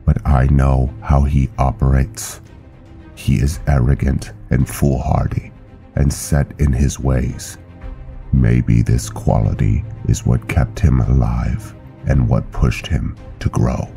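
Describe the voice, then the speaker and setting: A man acts out a cold, honor-bound vampire officer from a fantasy game. The voice is extremely low and dark, and the delivery is slow, formal and solemn, like a judge passing sentence. Music plays behind it. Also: little pitch movement, gravelly, heavily acted. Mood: solemn, calculating. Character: stern, honor-bound male vampire knight officer, coldly judging. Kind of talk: scripted roleplay narration and game dialogue.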